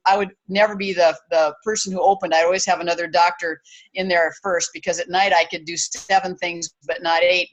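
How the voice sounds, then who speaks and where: A woman's voice: on the low side, poor audio. A woman in her sixties, a wellness consultant talking casually on a panel, chatty and often restarting her sentences. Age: 60s. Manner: chatty, with frequent restarts and fillers.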